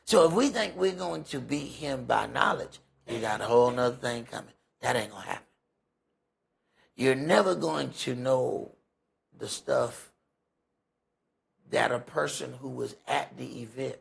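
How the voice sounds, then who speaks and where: A man with a gravelly, husky voice leads a Bible study in conversational sermon style, emphatic and folksy, often posing rhetorical questions. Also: mid-range voice, expressive, a little slow, pauses often. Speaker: preacher leading a Bible study. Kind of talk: conversational sermon with scripture commentary.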